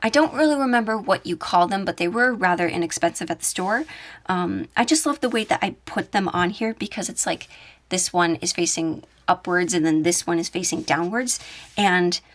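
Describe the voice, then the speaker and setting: A woman's voice: on the low side, normal speed. A woman casually talking through a show-and-tell of her things, chatty and enthusiastic. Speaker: YouTube audio creator showing her collection.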